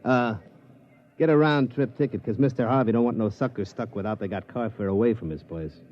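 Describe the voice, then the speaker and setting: A man's voice: mid-range voice, a little fast, close to an everyday voice. An actor playing a streetwise male casino tout who talks in slang in a 1950s radio drama. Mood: wheedling. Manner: slangy, conspiratorial tough talk. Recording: muffled vintage radio recording.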